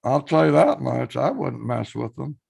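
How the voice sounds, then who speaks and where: Guy live streaming, hoarse and gravelly, voice swings all over, chatting with his viewers. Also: medium pitch, lively intonation, moderate pace, a little mellow. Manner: chatty, reads out viewer messages and greets them by name.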